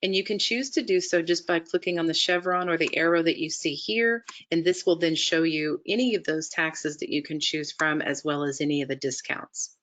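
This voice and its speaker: A woman narrating an instructional software demonstration in a methodical, explanatory way, step by step. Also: low-pitched, fairly even intonation, moderate pace, hardly any pauses. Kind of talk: instructional screen-share walkthrough narration.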